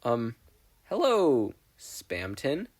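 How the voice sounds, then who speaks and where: Man as an earnest teenage boy, lively pitch. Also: very slow, full character voice. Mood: cautious. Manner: hesitant, polite, earnest. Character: earnest, hesitant teenage boy, aspiring hero.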